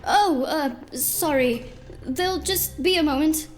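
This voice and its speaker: Woman playing a high-pitched, nervous diner cook. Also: takes it slowly. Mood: uneasy. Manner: hesitant, stammering. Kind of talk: noir audio drama acting.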